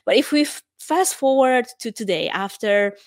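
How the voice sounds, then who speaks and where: A woman's voice: on the high side, lively intonation, normal speed, dry recording. Romanian-accented woman podcaster, dry sound. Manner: upbeat, laughs often while talking. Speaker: podcast host. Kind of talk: solo podcast monologue.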